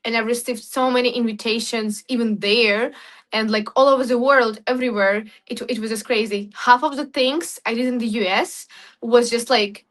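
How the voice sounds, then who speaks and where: A young adult woman from Ukraine, a guest talking excitedly in an interview, often restarting her sentences. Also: a little high, normal speed. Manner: excited, frequent restarts and laughs. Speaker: guest, content creator. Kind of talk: casual interview talk.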